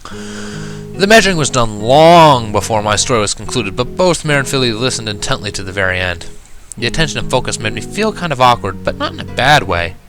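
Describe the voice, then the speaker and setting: A man reading fan fiction aloud as expressive first-person story narration. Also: mid-range voice, fairly quick, over music, poor audio.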